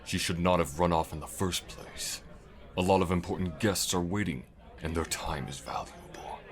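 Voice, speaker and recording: An actor playing a stern, domineering older king and father in an audio drama, with a gravelly, commanding, menacing voice. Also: sits low, moderate pace. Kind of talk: audio roleplay drama acting.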